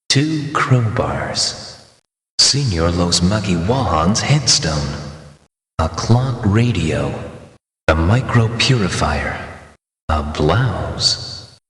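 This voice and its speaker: Slow, low-voiced game narrator, echoey. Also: male voice, fairly bright tone, heavily acted. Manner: deliberate, clipped short phrases. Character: matter-of-fact male game narrator reading out items. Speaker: narrator of item descriptions. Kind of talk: scripted video game item readouts. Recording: echoey game clips with long reverb.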